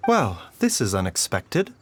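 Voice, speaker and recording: A man voicing a courteous, composed pharmacist in a video game, with a measured, formal way of speaking. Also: lowish voice, huge pitch swings, a little hiss or hum. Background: background music.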